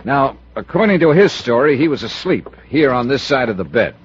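An actor playing a wry, brisk American insurance investigator in a 1950s radio drama, with clipped hard-boiled delivery. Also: male voice, medium pitch, big pitch swings, husky. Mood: matter-of-fact. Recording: muffled old radio recording with music.

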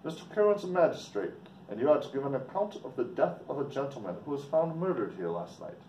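A man's voice: medium pitch, very animated, quick pace, warm tone, voice pushed up, natural voice. Man doing a surly Irish townsman, roomy sound. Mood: stern, accusing. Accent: attempted Irish. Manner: gruff, accusing. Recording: roomy, distant mic with faint noise.

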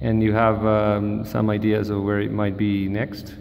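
A man's voice: lowish voice, completely flat delivery, a little slow, vocal fry, darkish tone. A man interviewing a colleague, asking questions hesitantly with many fillers, off camera in a large room. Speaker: interviewer, mathematician. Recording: roomy hall reverb, faint hiss.

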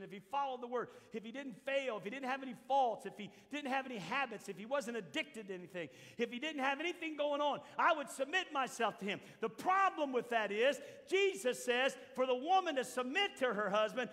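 An older man preaching a sermon as pastor to his church, shifting from quiet asides to shouted points. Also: very high voice. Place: large church hall with a seated congregation. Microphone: handheld mic, roaming the stage. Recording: long hall reverb.

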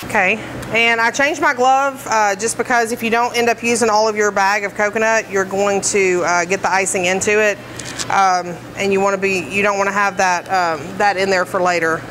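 A woman's voice: medium pitch, fairly even intonation, normal speed. Woman trainer in a kitchen, practical step by step talk, lots of ums, noisy background. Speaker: bakery trainer. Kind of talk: instructional demonstration. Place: commercial kitchen, indoors.

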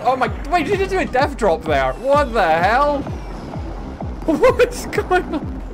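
A man in his thirties giving a casual, excitable video reaction as a streamer, often repeating his phrases. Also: very high-pitched, slow. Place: small indoor streaming room. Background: video game trailer music.

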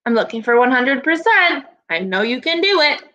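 A woman in her twenties, a teacher giving a read-aloud lesson to young children in a deliberate, instructive way. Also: sits high, sing-song, sharp tone, muffled recording. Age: 20s. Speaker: elementary school teacher. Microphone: laptop webcam at arm's length.

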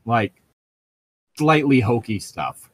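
A man's voice: medium pitch, big pitch swings, takes it slowly, fairly bright tone, slightly rough. A man co-hosting a podcast in casual chat, opinionated and often laughing as he talks. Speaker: podcast co-host.

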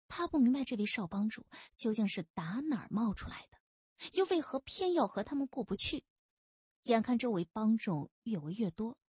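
A woman reading an audiobook novel as scripted narration, with expressive delivery and a husky voice. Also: on the high side, dry recording.

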